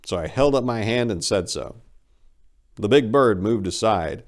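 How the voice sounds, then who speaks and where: Deep-voiced, very animated male story narrator. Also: unhurried. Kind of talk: animated dramatic reading of a first-person story.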